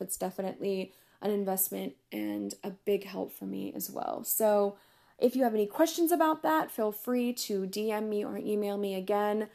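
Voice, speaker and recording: A woman hosting a casual solo podcast, chatty and upbeat with frequent laughs, her voice going hoarse. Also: medium pitch, mellow. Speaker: podcast host.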